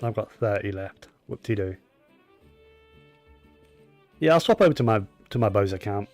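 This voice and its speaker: A man's voice: lowish voice, expressive, normal speed, faint music behind. A man giving casual gameplay commentary, rambling and opinionated, often talking to himself as he plays. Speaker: gaming streamer.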